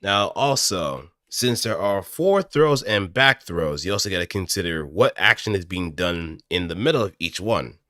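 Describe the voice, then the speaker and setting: Man, low pitch, bright forceful tone, casual improvised explaining, thinking aloud, close studio mic, dry sound. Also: lively intonation, moderate pace, few pauses, dry recording. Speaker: amateur voice actor. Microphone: close studio microphone through an audio interface.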